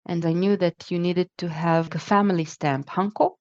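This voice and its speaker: A woman's voice: on the low side, normal speed. A woman, chatting as a podcast guest over a remote call, with plenty of fillers and restarts as she talks. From Israel. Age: 40s. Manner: chatty, with frequent fillers and restarts, lively when retelling exchanges. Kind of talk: casual conversational storytelling. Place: home in the Netherlands. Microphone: remote call recording. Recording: muffled remote-call audio.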